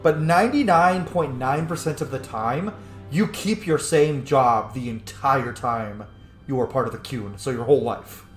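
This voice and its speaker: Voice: medium pitch, a little slow. A gamer giving casual, opinionated playthrough commentary with some swearing. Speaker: gaming video host. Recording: echoey room, faint game music.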